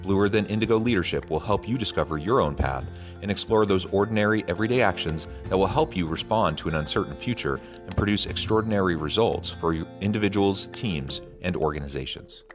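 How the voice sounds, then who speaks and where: Deep male promo narrator over music. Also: expressive, brisk, hardly any pauses. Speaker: podcast host reading promos.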